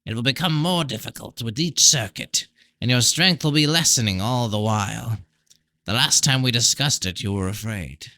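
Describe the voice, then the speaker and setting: A man's voice: mid-range voice, normal speed, bright, forceful tone, no echo. A crafty, half-mad old sorcerer, as a male reader puts him on, dramatic and insistent. He speaks bluntly, in a dry, close sound from a fantasy audiobook. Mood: grave, urgent.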